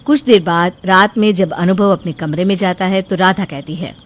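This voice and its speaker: A woman narrating a scripted family story in an even, storytelling manner. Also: lowish voice, moderate pace.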